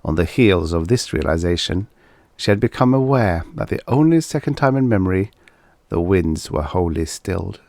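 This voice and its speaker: A man narrating a vintage science fiction story as an audiobook reader, in a dramatic, expressive storytelling manner. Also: low voice, normal speed, no echo.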